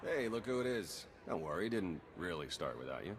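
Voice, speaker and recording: A man's voice: low voice, sing-song, brisk, slight background noise. An actor playing a wry, easygoing male spy in a game, with casual, dry delivery. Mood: dry, friendly.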